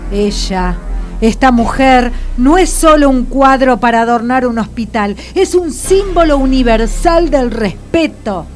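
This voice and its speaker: Righteous, slow-spoken lady doctor. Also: sits high, lively intonation, darkish tone, soft background music. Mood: indignant. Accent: Argentine Spanish. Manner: preachy, measured, formal. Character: indignant, righteous female hospital doctor. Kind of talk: comic radio drama acting.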